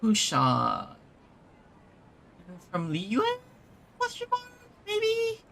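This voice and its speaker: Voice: medium pitch, huge pitch swings, extremely slow, vocal fry, a bit bright, slight background noise. A game streamer giving casual, gushing, exclamatory commentary while playing.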